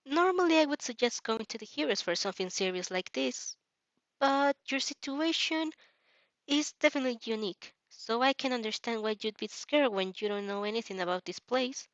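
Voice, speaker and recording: A woman voicing a shy, kind teenage boy in an audio fan story, gentle and reassuring. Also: fairly high pitch, normal speed, no room sound, dull audio, lightly acted.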